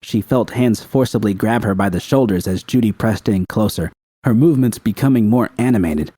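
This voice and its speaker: A man's voice: on the low side, fairly quick, mellow, slightly rough, no echo. A man reading scripted fiction as an audiobook narrator.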